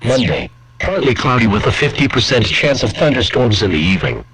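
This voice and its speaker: A man's voice: medium pitch, very animated, a little fast, background noise. A man reading a formal, scripted emergency alert as a broadcast announcer.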